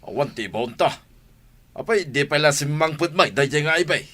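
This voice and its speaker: A man's voice: fairly high pitch, animated, brisk, sharp tone. A man playing a lazy, hungry village husband who whines and grumbles in an Ilocano comedy radio drama. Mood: grumbling. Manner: whining, complaining.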